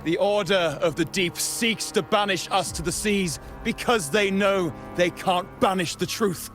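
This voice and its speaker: A man's voice: sits high, a little slow. A man voicing a solemn, idealistic poet rebel in a video game, with a declamatory delivery. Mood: defiant. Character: solemn, earnest male poet dissident, idealistic. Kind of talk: dramatic video game voice acting. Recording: voice under loud game music.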